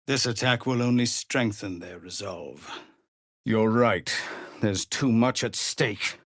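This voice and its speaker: Fantasy game refugee leader: husky, gravelly, weary and earnest, slow formal phrasing, grave bearing. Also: male voice, on the low side, dry recording, studio quality. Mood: grave, resolute. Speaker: video game character voice. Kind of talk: dramatic fantasy game dialogue.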